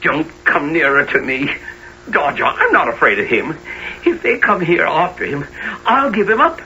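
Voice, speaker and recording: An actor in a radio play playing a grieving, indignant young gang member, earnest and accusing. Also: male voice, fairly high pitch, very animated, moderate pace, pushed and bright, exaggerated voice. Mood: fearful defiance. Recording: muffled radio-play recording with clear noise.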